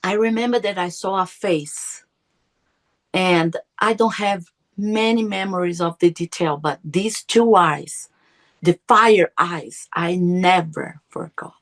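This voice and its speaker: A woman's voice: fairly low pitch, takes it slowly. A middle-aged woman whose first language is Portuguese, telling a personal story as a podcast guest, earnest and often searching for her words. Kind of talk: personal testimony.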